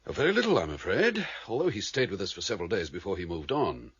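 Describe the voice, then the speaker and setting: An actor in a radio drama playing a grave, commanding male leader of an underworld council, speaking formally and with measured authority. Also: sits low, very animated, a bit bright, slightly rough, full character voice. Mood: measured, guarded. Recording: dull, band-limited radio drama recording.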